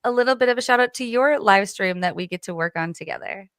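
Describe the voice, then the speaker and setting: Upbeat woman stream host, smooth dry voice. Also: mid-range voice, fast talker, fairly bright tone, no room sound. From Illinois. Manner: effusive, upbeat. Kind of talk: hosting a live panel chat.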